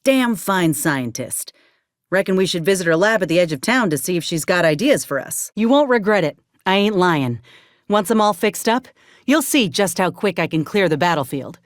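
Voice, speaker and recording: A woman's voice: fairly low pitch, lively intonation, moderate pace, no echo, clean studio sound, close to an everyday voice. An actress playing an earnest, determined young woman soldier with folksy rural turns of phrase in a video game. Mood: practical. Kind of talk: video game character dialogue.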